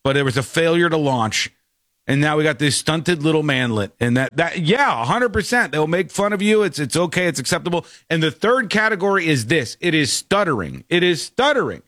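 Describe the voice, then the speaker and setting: A man with a voice pitched a little high talks in a blunt, animated way, cracking jokes and swearing now and then. The sound is close and dry, with almost no room in it. He sounds like a host riffing in casual chat. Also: a little fast, no room sound.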